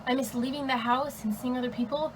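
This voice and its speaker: A woman's voice: high-pitched, fairly even intonation, fast, close to an everyday voice. A young woman playing a wistful student reading a letter as a monologue. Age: teenager or young adult. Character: wistful teenage student writing to her future self. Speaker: student actor. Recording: clear background vehicle noise.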